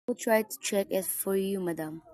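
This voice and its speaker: A woman's voice: medium pitch, normal speed, darkish tone, dry recording, clean studio sound, close to an everyday voice. A woman playing a polite, unruffled telephone operator in a radio play, formal and procedural.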